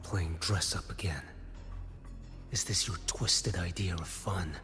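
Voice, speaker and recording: A stern blind warrior speaks in a very deep, grave voice. His lines are short and cold, sometimes dropping to a whisper. Faint game music plays underneath. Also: takes it slowly, fairly bright tone. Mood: grim.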